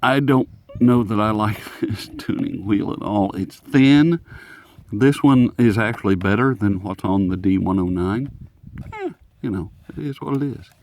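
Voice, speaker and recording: A man's voice: fairly low pitch, a little slow, a little mellow, slight background noise. A man hosting a casual, unscripted radio review in a folksy, jokey, rambling manner. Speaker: host of radio review videos.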